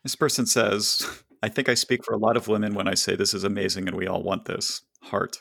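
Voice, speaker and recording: Gravelly-voiced man dryly reading listener letters. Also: lowish voice, flat delivery, brisk, bright, forceful tone, no room sound. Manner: dry, wry, reads listener letters aloud. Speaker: podcast co-host reading listener questions. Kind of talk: casual podcast chat.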